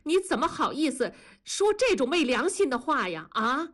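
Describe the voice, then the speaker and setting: A wounded middle-aged mother in an audiobook drama, played by a woman narrator, with a high, swooping voice. She is reproachful and indignant, trembling with emotion as she pleads. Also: very animated, moderate pace, a bit bright.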